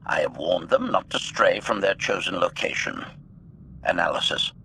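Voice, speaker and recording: A man's voice: sits low, lively intonation, moderate pace, bright, forceful tone, a little hiss or hum, heavily acted. A man voicing a servile yet contemptuous assassin droid in a video game, formal and clipped, with a robotic filter. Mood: dry, menacing. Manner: announces statements with one-word labels, formal and precise.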